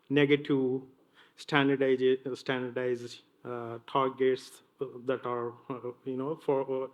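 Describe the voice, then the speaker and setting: A man's voice: mid-range voice, a little mellow. A man speaking as a panelist, hesitant and searching for words. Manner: hesitant, many fillers and restarts. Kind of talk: panel discussion.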